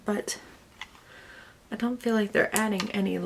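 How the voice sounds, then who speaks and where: A woman's voice: medium pitch, steady tone, measured pace, creaky, background noise. Snarky young woman, faint Southern twang. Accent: slight Southern US. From Connecticut and the US South. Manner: snarky, chatty, muttering asides. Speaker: beauty vlogger. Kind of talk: casual get-ready-with-me chat.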